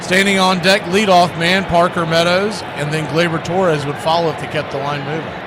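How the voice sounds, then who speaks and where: A man's voice: fairly high pitch, fairly even intonation, normal speed. A man doing live baseball play-by-play as the host announcer. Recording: very noisy outdoor-sounding ambience behind.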